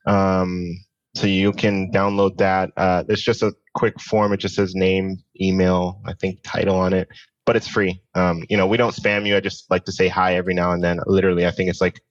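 A man's voice: deep voice, little pitch movement, normal speed, no room sound, muffled recording. A man in his thirties chatting casually and earnestly as a guest in an interview. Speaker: podcast guest, tech business founder.

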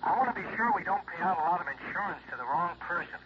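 An actor playing a worried insurance agent calling on the telephone in an old radio drama. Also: male voice, sits high, lively intonation, quick pace. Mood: anxious. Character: worried middle-aged male insurance agent. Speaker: insurance man on the phone. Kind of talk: 1960s radio drama acting. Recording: dull old radio recording with hiss.

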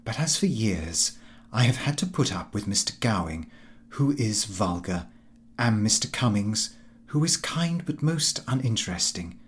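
A man voicing a sharp-tongued wife in a comic novel, genteel and cutting in manner. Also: fairly low pitch, big pitch swings, a little slow. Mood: cutting reproach. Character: sharp-tongued wife defending her clever friend.

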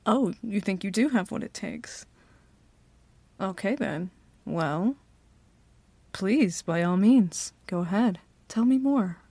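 Woman voicing a bossy female CEO, teasing and confident, slow unhurried talk, a little vocal fry. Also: medium pitch, animated, warm tone, no room sound, heavily acted. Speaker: ASMR roleplay performer. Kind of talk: flirty ASMR roleplay.

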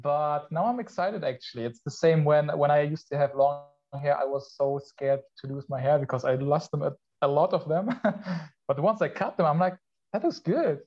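Podcast guest: man from Poland, fairly high voice, stop-start chat, dull recording. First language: Polish. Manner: halting, with many restarts and laughs. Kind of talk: casual chat. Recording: muffled lo-fi sound.

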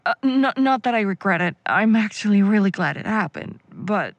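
Supportive, gentle young boyfriend, female actor: soft, hesitant delivery, dry, slightly dull recording. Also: medium pitch, lively intonation, normal speed, dry recording, close to an everyday voice. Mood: tender, hesitant. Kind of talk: audio drama acting.

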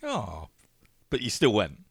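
Playful man with lively voice. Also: lowish voice, slow, gravelly, slightly rough, no room sound, studio quality. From England, London. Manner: playful, jokey. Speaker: podcast co-host. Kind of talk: casual chat.

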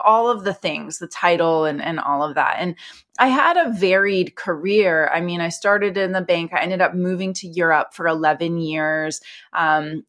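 A woman in her forties, an investor guest, telling her career story in a chatty way full of fillers and quick restarts. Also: fairly low pitch, a bit bright. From North America. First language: Italian. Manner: chatty with many fillers, voices others in quotes. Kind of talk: casual conversational storytelling.

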